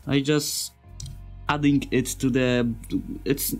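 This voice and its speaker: A man with a non-native English accent giving an informal tutorial as a sound designer, speaking in simple, halting phrases and searching for words. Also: medium pitch, level tone, a little mellow, faint music behind. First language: not English. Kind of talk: informal software tutorial narration.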